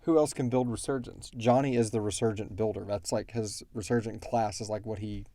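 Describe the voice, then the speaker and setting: A man co-hosting a casual question-and-answer chat, thinking aloud and explaining things in a halting way. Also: fairly low pitch, fairly even intonation, warm tone. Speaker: podcast co-host and show creator answering fan questions.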